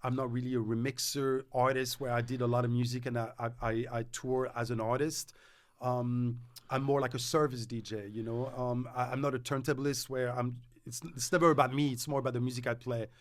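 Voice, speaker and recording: A middle-aged man with a French accent, a DJ talking as a guest on a casual podcast, animated and restarting his words as he tells stories. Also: mid-range voice, fairly quick. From France.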